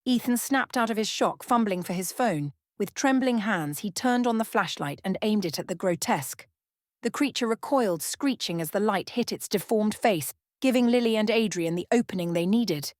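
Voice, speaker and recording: A woman's voice: medium pitch, dry recording, studio quality. A woman storyteller reading a scripted bedtime tale in an ASMR narration style.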